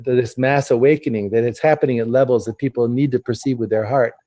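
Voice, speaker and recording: Man, host, earnest, halting, repeats words, mellow tone. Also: medium pitch, steady tone. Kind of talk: casual interview chat.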